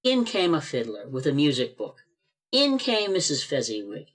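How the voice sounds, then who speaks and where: A man narrating an amateur radio play with expressive storytelling, read over a video call. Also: a little high, moderate pace.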